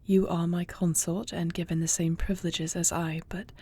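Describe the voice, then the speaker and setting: A woman's voice: lowish voice, flat delivery, normal speed, dark tone, slightly rough. A woman playing a grieving young warrior princess about to become queen, speaking formally and earnestly in an intimate fantasy audio roleplay. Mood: hushed, resolute. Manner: formal, earnest archaic phrasing.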